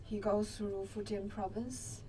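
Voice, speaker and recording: A woman giving spontaneous explanatory commentary, telling a story step by step in halting phrases. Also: mid-range voice, little pitch movement, mellow, slight background noise, rough recording.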